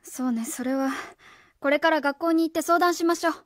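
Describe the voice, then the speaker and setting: A woman's voice: high voice, a little fast, no room sound, clean studio sound, close to an everyday voice. A woman playing an earnest, by-the-book schoolteacher in a radio drama, careful, polite and insistent. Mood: concerned. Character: earnest, by-the-book female schoolteacher on home visit. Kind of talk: Japanese radio drama acting.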